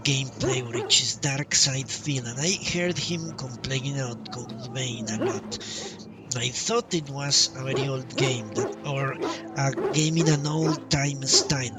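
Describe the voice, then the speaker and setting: A man commentates casually on a role-playing game at a slow pace, thinking out loud in short remarks. His voice sits a little away from the mic, with loud game music playing behind him. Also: medium pitch, distant mic, low-quality recording. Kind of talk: casual gameplay commentary, reading dialogue choices aloud.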